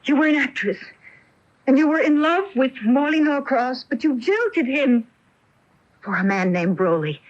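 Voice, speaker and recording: A woman's voice: high voice, lively intonation, unhurried. An actress playing an inquisitive young female tenant in a radio mystery drama, speaking earnestly and dramatically. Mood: insistent. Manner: earnest, dramatic, hesitant then insistent. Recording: dull lo-fi 1980 radio broadcast tape.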